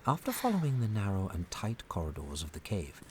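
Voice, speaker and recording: A man's voice: fairly low pitch, wildly swooping pitch, fairly quick, slight background noise. A man narrating a family audio adventure in a scripted storybook style. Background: music under the voice.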